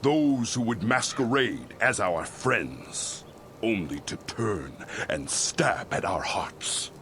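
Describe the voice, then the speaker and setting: A vengeful religious leader in a fantasy game. He speaks slowly and gravely in a lowish voice with sweeping, dramatic rises and falls, like formal oratory to followers. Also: lively intonation, a bit bright, slight background noise. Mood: grave, righteous. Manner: grave, measured, preaching oratory. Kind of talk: video game cutscene acting.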